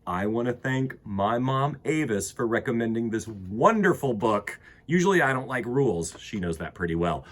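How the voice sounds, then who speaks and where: Husky, very animated male storyteller. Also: mid-range voice. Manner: expressive, dramatic emphasis and pauses. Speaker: children's storytime host. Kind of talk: animated picture-book read-aloud for children.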